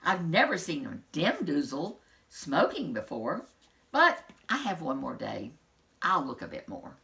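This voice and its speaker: A woman's voice: low-pitched, wildly swooping pitch, measured pace, vocal fry, fairly bright tone, pitched below the performer's own voice, full character voice. A woman voicing a brave, eager young prince from a children's storybook, musing aloud in a bold manner. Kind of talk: children's storybook character reading.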